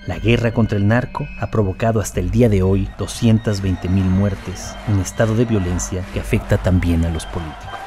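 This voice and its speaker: A man's voice: low voice, steady tone, moderate pace, few pauses, over music. A man reading scripted narration for a documentary drama.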